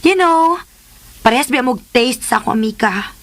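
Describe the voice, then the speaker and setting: An actress playing an eager, affectionate daughter in a radio drama, cheerful and coaxing. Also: female voice, a little high, lively intonation, normal speed, fairly bright tone.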